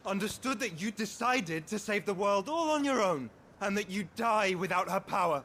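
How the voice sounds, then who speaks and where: A man playing an earnest, protective young companion in a cinematic video game, speaking with measured intensity. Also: sits high, animated, sharp tone, a little hiss or hum, exaggerated voice. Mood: angry reproach.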